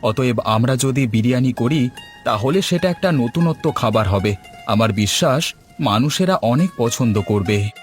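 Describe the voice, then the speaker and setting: A man's voice: mid-range voice, lively intonation, normal speed, lightly acted. A man voicing an earnest, agreeable husband who runs a small eatery in a cartoon. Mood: hopeful. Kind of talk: cartoon dub. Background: music.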